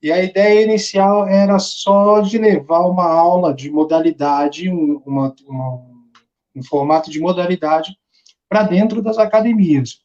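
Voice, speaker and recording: A man's voice: high voice, measured pace, a little mellow, muffled recording. A man from Chile, a martial arts instructor guest, talking easily with some hesitant restarts. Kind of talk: casual interview talk.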